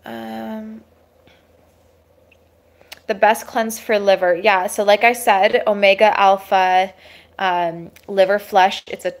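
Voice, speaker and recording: A woman's voice: mid-range voice, fairly even intonation, slow pace, pauses often. Woman with a sore throat, giving advice live. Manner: casual, advisory, many fillers and restarts. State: sore throat, losing her voice. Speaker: holistic health host. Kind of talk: live Q&A, reading and answering viewer questions. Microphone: webcam or phone livestream.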